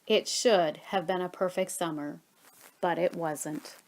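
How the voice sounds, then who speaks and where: A woman reading a children's story aloud expressively, with dramatic pauses and stretched key words. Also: fairly low pitch, steady tone, a little mellow, slight background noise, low-quality recording.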